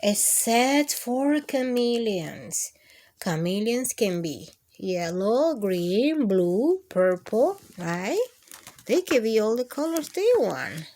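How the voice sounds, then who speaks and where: Slow, lively high-voiced woman reading to children. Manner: sing-song, expressive, addressing children with questions. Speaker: children's storytime host. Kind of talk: animated read-aloud of picture books for young children.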